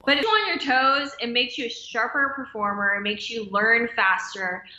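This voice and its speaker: A woman's voice: a little high, normal speed, sharp tone, roomy sound, lo-fi sound. A woman, an American stunt performer, chatting casually as a podcast guest, loose and easygoing with many "yeah"s and "like"s. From United States. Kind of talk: casual interview chat.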